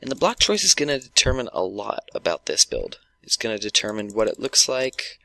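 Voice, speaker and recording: A man with a sore throat and a stuffy nose, chatting through a gameplay video and talking in a halting way with lots of ums and restarts. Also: on the low side, sing-song, gravelly, sharp tone. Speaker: gaming YouTuber. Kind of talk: casual gameplay commentary.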